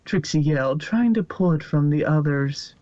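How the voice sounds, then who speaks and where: A man's voice: a little high, expressive, moderate pace, mellow, dry recording. A man narrating fan fiction as a scripted story reading. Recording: muffled, dry lo-fi recording.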